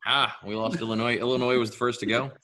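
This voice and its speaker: Co-host guy, bright sharp tone, dry jokes, rambles a bit. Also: medium pitch, little pitch movement, quick pace. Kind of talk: casual chat.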